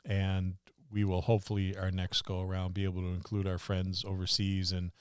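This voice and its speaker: A man's voice: low-pitched, completely flat delivery, normal speed, clean tone, no room sound, clean studio sound. A man co-hosting a casual podcast about tabletop gaming, talking in a rambling, enthusiastic way. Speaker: podcast co-host.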